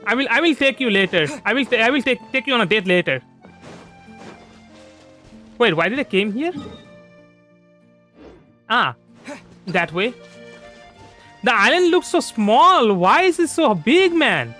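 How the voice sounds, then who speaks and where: A man with a notably high, bright voice gives casual gameplay commentary on a livestream. He rambles, circling back to repeat and restart phrases as he goes. Light music plays behind him. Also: sing-song, a little slow, pauses often. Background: soft background music.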